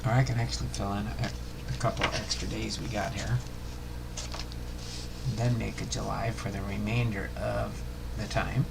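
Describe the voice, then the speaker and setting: An older man hosting a casual livestream, talking in a folksy, rambling, unhurried way with a gravelly voice. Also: lowish voice, level tone, heavy background noise. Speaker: livestream host.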